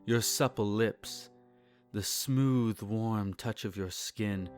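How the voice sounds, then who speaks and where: Man acting a slow, possessive vampire lord. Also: on the low side, faint music behind. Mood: seductive, admiring. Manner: formal, teasing, savouring phrasing with sighs and soft chuckles. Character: possessive, courtly male vampire lord doting on captive pet. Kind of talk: intimate roleplay audio acting, partly whispered.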